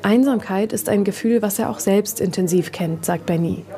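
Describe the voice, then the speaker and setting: A woman's voice: mid-range voice, normal speed, mellow. A woman reporter reads scripted narration for a radio reportage.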